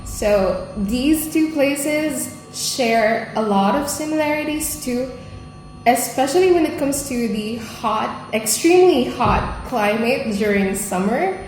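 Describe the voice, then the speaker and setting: A woman's voice: a little high, animated, slow pace, slight background noise. A young woman from the Philippines, a language assistant, answering interview questions in a careful, somewhat formal way. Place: school room. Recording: very echoey room with faint music.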